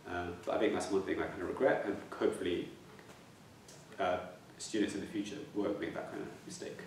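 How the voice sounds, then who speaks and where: Low, flat-voiced young man who stammers. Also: brisk, creaky. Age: 20s. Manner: stammers, with repeated words and restarts. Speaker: interviewee. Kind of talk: reflective interview answers. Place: plain room indoors. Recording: echoey room, slightly distant mic.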